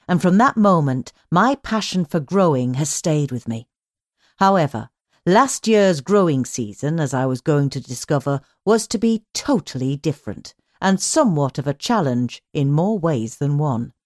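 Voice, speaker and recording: A British woman narrates written articles in a fairly low voice. Her intonation is very varied, rising and falling through measured, well-marked phrases. The sound is dry and close, with little room in it. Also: no echo. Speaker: magazine audio edition reader. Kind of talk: scripted narration, read magazine articles.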